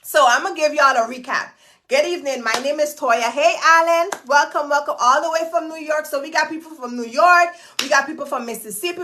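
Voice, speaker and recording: A woman's voice: sits high, bright, forceful tone, slight background noise. A woman hosting a casual livestream, greeting viewers by name and repeating herself in a chatty, hyped-up way, talking into her phone. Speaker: host of a livestream. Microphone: phone camera.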